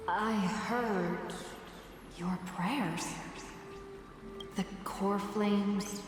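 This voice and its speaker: A woman voicing a solemn, ethereal goddess in a game, speaking humbly and haltingly. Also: medium pitch, steady tone, music in the background. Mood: solemn, serene. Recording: cavernous echo, long reverb, background ambience noise.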